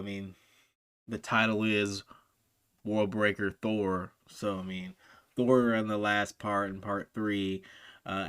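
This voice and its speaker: A man's voice: lowish voice, clear, resonant tone. A man hosting a reaction video, talking in laid-back, slangy banter. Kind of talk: casual reaction commentary.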